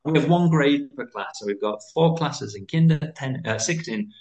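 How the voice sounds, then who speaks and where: A British-raised man, likely in his thirties, a school founder talking quickly as a podcast guest, with lively pitch and frequent restarts. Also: highs cut off. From United Kingdom. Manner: fast, animated, many restarts. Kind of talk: interview conversation.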